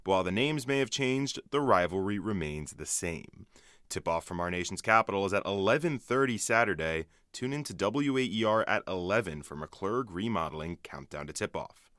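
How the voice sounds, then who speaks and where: A man's voice: low voice, animated, normal speed, fairly bright tone, dry recording, clean studio sound. A man anchoring a radio sports update in a scripted broadcast delivery.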